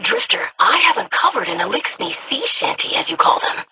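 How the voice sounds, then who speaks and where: An actress voicing a curious, polite young alien scholar in a video game, with precise formal diction. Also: female voice, on the high side, very animated, normal speed, bright, forceful tone, a little hiss or hum, exaggerated voice. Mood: inquisitive.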